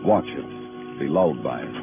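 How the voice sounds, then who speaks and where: An actor playing a brooding, world-weary police detective in 1950s radio noir style, with a dramatic, lyrical delivery. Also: male voice, very deep voice, animated, measured pace, vocal fry, heavily acted. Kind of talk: 1950s radio noir acting and poetic narration. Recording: muffled old radio broadcast with music.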